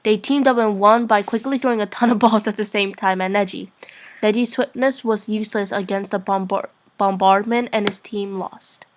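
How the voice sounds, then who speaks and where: A woman's voice: mid-range voice, steady tone, moderate pace, a little hiss or hum. A woman narrating fan fiction in an informal, amateur reading-aloud style. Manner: giggly, informal.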